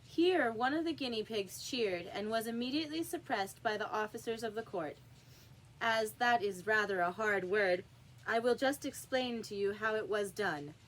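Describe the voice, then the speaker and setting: A woman's voice: mid-range voice, normal speed, a bit bright, slight background noise, low-quality recording. A woman reading a classic story aloud as scripted audiobook narration. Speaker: audiobook reader.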